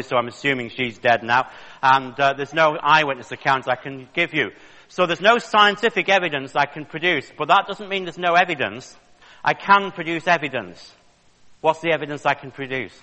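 Man, fifties, chatty lecturer, crisp bright voice, long hall reverb. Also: mid-range voice. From England. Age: 50s. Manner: chatty and joking with the audience. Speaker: creationist speaker. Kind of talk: public lecture.

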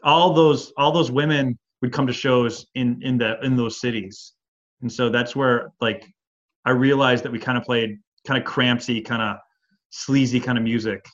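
A man's voice: mid-range voice, fairly even intonation, normal speed, a bit bright. A man with a Michigan accent and a slightly nasal voice talks through old band stories with loose, rambling fillers. His voice sits mid-range. The sound is dull and lo-fi, like a remote call. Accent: Midwestern US, Michigan. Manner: rambling, storytelling, many fillers. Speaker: band singer and guitarist, guest. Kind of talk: casual interview chat.

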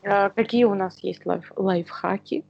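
A woman from Ukraine co-hosting a casual podcast, speaking in a halting, searching way. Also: mid-range voice, fairly even intonation, creaky, dull audio, a little hiss or hum. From Kyiv, Ukraine. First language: Russian. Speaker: podcast co-host.

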